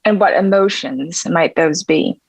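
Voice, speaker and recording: A woman's voice: fairly low pitch, unhurried, creaky, breathy edge, no room sound, heavily acted. An actor, a woman, playing a sultry, sardonic bartender with a pointed, impatient manner at a table read. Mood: cool, jealous.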